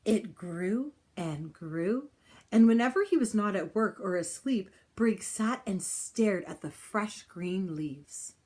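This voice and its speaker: A woman reading a children's picture book aloud in an expressive style, with deliberate, dramatic phrasing and pauses. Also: medium pitch. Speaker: storybook reader.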